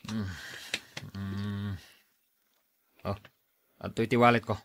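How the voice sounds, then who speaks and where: An actor playing a surly, jealous, hard-drinking husband in a Filipino radio drama, with a Filipino accent. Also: male voice, fairly low pitch, flat delivery, takes it slowly, slight background noise. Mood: groggy, grumpy.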